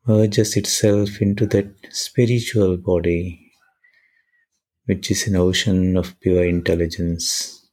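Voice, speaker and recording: A man leading a guided meditation and prayer in measured, deliberate short phrases with pauses between them. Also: low voice, flat delivery, a little mellow.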